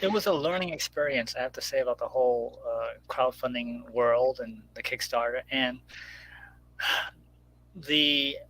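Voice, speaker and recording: A man's voice: mid-range voice, measured pace, fairly bright tone, a little hiss or hum, poor audio. Guy just chatting, kinda rambly and informal, like a guest creator telling how it went. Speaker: guest, comic book creator promoting crowdfunding. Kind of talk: casual chat.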